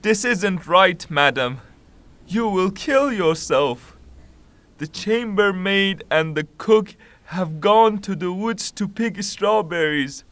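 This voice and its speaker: An actor playing a fussing, plain-spoken old widowed manservant in a theatrical audio drama, pleading and deferential. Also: male voice, high voice, fairly bright tone, no room sound. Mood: pleading, scolding.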